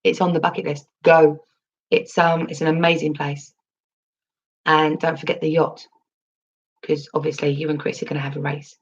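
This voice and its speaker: A woman with a husky voice, recovering from losing it, chatting casually on a livestream, answering her chat with lots of ums and restarts. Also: sits low, level tone, normal speed, long pauses, muffled recording. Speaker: crypto livestream host reading chat.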